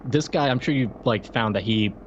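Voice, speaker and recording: A man's voice: medium pitch, normal speed, a little hiss or hum. A slightly dull, lo-fi recording of a man with a mid-range voice. He talks casually about films as a podcast co-host, with a dry, wry delivery. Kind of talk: casual film discussion chat.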